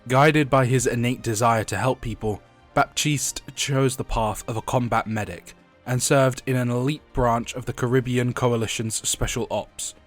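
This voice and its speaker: A young man narrates a video essay with a stuffy, sniffly head-cold sound. He reads from a script in a mid-range voice and breaks off for casual asides. Soft music plays quietly behind him. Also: mid-range voice, steady tone, moderate pace. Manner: chatty asides while reading lore.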